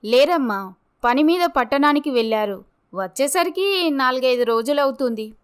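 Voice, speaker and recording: A kindly village leader's wife in a storybook drama , acted by a woman. She advises calmly and gently in a high-pitched, very clear voice. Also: normal speed. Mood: kind, advising.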